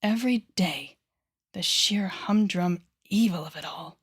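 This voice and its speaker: Breathy, slow-speaking woman as a telepathic villainess. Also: medium pitch. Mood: bitter, disdainful. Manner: soft, breathy, often whispered, deliberate dramatic pauses. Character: female telepathic villain, intense, coaxing, secretly tender. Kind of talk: intimate audio roleplay addressed to the listener. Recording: background music under the voice.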